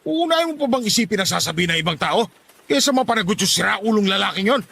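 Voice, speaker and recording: A man's voice: high-pitched, animated, fast talker, gravelly, pushed and bright, a little hiss or hum, heavily acted. A man playing an angry, protective middle-aged father in a radio drama, indignant and scolding. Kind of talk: Tagalog radio drama acting.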